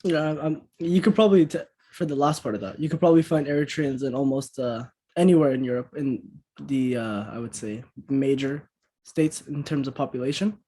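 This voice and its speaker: A young man, a podcast guest, speaking in a measured, hesitant way with fillers. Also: medium pitch, dark tone, breathy edge. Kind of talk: casual interview chat.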